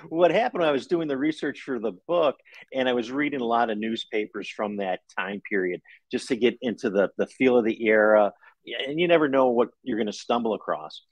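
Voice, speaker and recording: A man's voice: mid-range voice, a little fast, dry recording, low-quality recording. A middle-aged man, an author, talking casually and telling stories as an interview guest on a video call. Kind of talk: casual conversational storytelling. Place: indoor living room at home.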